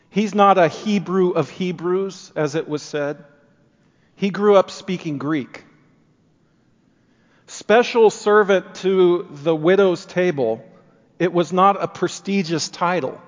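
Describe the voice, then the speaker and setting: A man with a somewhat high voice preaches a sermon in an earnest manner. His phrases build in force and then drop back to quieter stretches. The sound is dull and muffled, with a long reverb trailing through the church. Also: slow pace. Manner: earnest, rising and falling emphasis.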